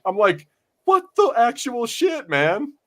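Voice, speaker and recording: A man's voice: very high voice, huge pitch swings, slow, sharp tone. A man co-hosting a podcast, chatting casually about pop culture in a profane, exasperated ranting manner. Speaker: podcast co-host.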